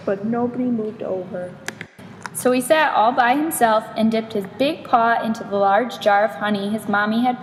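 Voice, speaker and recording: A woman reading a children's storybook aloud in an expressive narrating style. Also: on the high side. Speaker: storybook reader. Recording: echoey reverberant room, faint noise.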